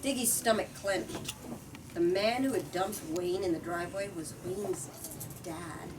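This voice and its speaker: A woman's voice: on the low side, measured pace. A woman reading a storybook aloud to listeners and talking about it, with dramatic, emphatic delivery. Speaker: host reading book excerpts aloud. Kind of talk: expressive storybook read-aloud with discussion. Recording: distant mic, noisy room ambience.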